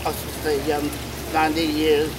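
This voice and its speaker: A woman about to turn ninety, speaking slowly and proudly about her age. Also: deep voice, monotone. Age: 80s. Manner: slow, proud, cheerful. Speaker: senior housing resident. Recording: loud outdoor noise with rain and traffic.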